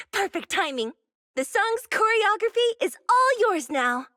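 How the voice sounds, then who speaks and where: A spirited young pop idol from an anime game, high and very animated. She is dreamy yet determined and expressive, closely recorded with music underneath. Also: slow, no echo, studio quality, full character voice. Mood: playful resolve. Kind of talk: anime-style game voice acting.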